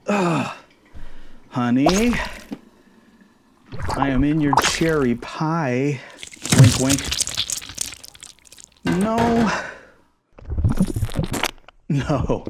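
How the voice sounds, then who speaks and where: A man's voice: on the high side, heavy background noise, full character voice. A man acting a playful, goofy, affectionate shrunken boyfriend in an ASMR roleplay, casual and jokey with theatrical exclamations. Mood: comic panic.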